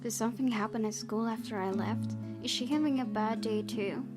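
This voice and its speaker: A woman reading a visual novel game aloud in an expressive storytelling style, with her own asides. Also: on the high side, fairly quick, loud music behind the voice.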